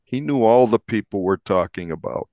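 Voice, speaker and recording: An American man with a fairly low voice recounts events as an interviewed reporter. He talks carefully and a little haltingly, on a dry, slightly muffled recording. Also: animated, dark tone, dry recording. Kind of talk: conversational recounting of a crime story.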